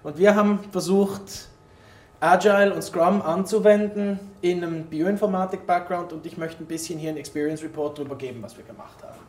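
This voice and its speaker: A man's voice: sits high, fairly even intonation, a little fast. A man giving an explanatory conference talk in an informal way, addressing his audience directly as a native German speaker. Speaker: conference speaker, former molecular biologist. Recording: roomy echo, distant microphone in hall.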